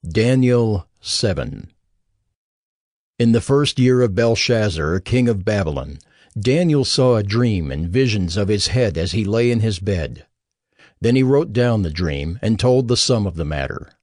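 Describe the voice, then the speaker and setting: A male narrator reads scripture from a script. His voice is fairly low. The sound is very dry, with no room echo. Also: lively intonation, a little slow, a little mellow.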